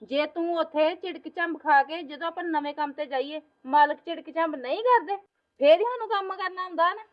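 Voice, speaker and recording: Advising sister-in-law played by a woman, high voice, muffled lo-fi. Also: a little fast. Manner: advising, explaining patiently. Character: sensible elder sister-in-law, young married woman.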